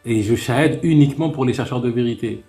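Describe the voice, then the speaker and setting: A man's voice: mid-range voice, fast, mellow, soft background music. A man hosting a live online talk, chatting casually, laughing often and restarting his sentences. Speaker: host of live debate stream.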